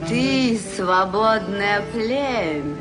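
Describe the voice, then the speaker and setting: Sleek panther, cartoon, silky, mid-pitched, drawn-out deliberate delivery, loud music. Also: female voice, expressive. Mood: silky, assured. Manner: languid, deliberate, dignified. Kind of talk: Soviet animated film acting.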